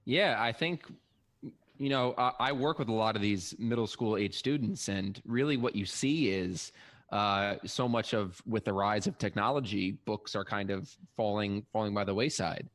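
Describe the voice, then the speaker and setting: A man with a fairly low voice talks as a podcast co-host. His delivery is conversational, like a discussion among colleagues. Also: normal speed, fairly bright tone. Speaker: therapist working with students.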